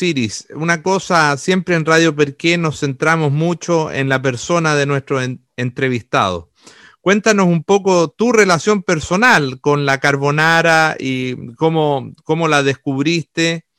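Bright, slow-talking male radio host. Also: fairly high pitch, smooth, no echo, studio quality. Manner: measured, formal questions. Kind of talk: radio interview.

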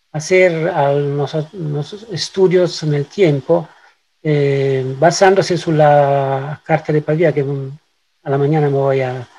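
A man's voice: fairly high pitch, mellow, poor audio. An Italian man with an Italian accent, a professor being interviewed, searching for words in a second language and repeating for emphasis. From Italy. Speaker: interviewed professor and neurology researcher. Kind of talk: interview answers, explaining.

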